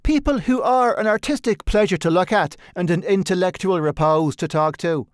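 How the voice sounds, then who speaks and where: A man reading a storybook voices a brash, rough male painter with an emphatic, declaiming manner. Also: high voice, moderate pace, no echo, clean studio sound, lightly acted. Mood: pompous.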